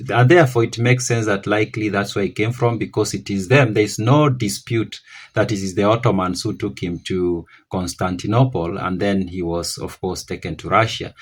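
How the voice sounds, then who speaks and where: A man hosting a history channel, talking it through off the cuff, often stopping to correct himself. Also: on the low side. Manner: rambling, self-correcting, thinking aloud. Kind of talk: unscripted history talk to camera.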